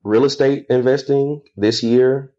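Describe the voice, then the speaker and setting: A man in his thirties, a podcast guest, answering earnestly with pauses and "uh" fillers. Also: medium pitch, steady tone, warm tone, muffled recording. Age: 30s. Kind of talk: casual interview answers.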